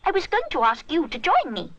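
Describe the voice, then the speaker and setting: A woman's voice: high voice, huge pitch swings, brisk, a bit bright, highs cut off, voice pushed up, heavily acted. A woman voicing a greedy, amiable young boy pig in a children's story, childlike and a little plaintive. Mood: eager.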